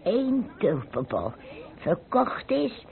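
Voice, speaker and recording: A woman's voice: medium pitch, big pitch swings, slow pace, creaky, exaggerated voice. An actress playing a humble, chatty poor door-to-door woman, stammering and repeating words, in an old radio play. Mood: chatty, self-effacing. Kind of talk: old radio drama acting. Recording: tinny, noisy old radio play recording.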